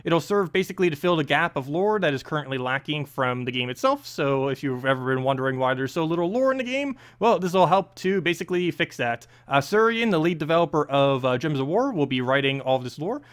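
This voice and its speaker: A man's voice: on the high side, animated, brisk, hardly any pauses, smooth. A man hosting a channel and reading a story aloud in an amateur, casual way, stumbling now and then. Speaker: channel host and story reader.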